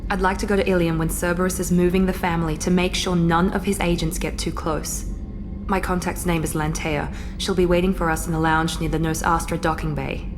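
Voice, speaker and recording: A woman voicing a cool, guarded female operative in a video game, formal and restrained, her voice set a little low. Music plays clearly under her lines. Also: flat delivery, fairly quick, lightly acted. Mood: reserved.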